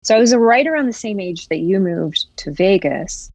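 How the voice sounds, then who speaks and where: A woman's voice: medium pitch, animated, normal speed, darkish tone, no room sound. A young woman from West Virginia, raised in Florida, talking reflectively as a podcast guest. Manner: reflective, many "like" fillers. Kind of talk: casual conversation.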